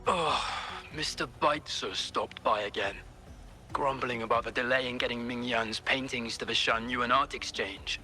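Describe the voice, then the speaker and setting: A man's voice: medium pitch, steady tone, normal speed, bright, forceful tone, soft background music. An actor playing a weary male worker complaining, heard as a played-back recording. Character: grumbling male workshop worker.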